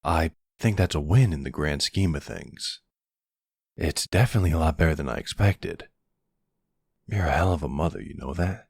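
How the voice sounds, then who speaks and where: A man playing a smug, flirtatious middle-aged mafia boss in an ASMR roleplay drama, sweet-talking and gravelly. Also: rumbling low, moderate pace, a little mellow, dry recording, studio quality, exaggerated voice. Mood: tender, flirtatious.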